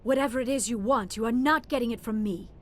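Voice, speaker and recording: Woman as an earnest high-voiced alien scientist. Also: fast, a little hiss or hum, lightly acted. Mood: indignant. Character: earnest young female alien scientist, anxious and polite. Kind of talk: video game voice acting.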